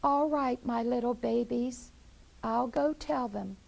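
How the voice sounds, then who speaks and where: A woman voicing a proud, bossy mother hen in a children's story, in a high sing-song way. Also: takes it slowly, creaky, darkish tone, heavily acted. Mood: forgiving.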